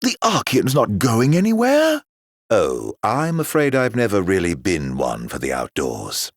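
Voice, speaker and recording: An actor voicing a sly, mock-courteous villain in a video game, with a florid, teasing, theatrical drawl. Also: male voice, on the low side, huge pitch swings, a little slow, no echo, clean studio sound, full character voice. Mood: amused, teasing.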